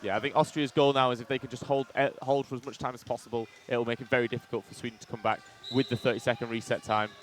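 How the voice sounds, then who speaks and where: Fast-talking loud male color commentator. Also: medium pitch, fairly even intonation. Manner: chatty analysis with frequent ums and uhs, reads chat aloud. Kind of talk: live sports commentary. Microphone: headset.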